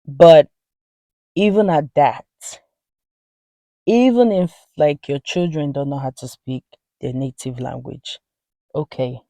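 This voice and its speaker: Nigerian woman vlogging, slow and earnest, lots of "you know", dry close sound. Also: deep voice, mellow, no echo. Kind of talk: casual talk to camera.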